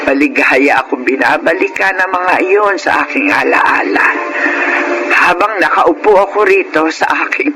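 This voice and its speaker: An actress playing a gentle, sentimental elderly grandmother who is quietly stubborn, in a radio drama. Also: sits low, normal speed, over loud music, natural voice, low-quality recording. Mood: nostalgic, firm.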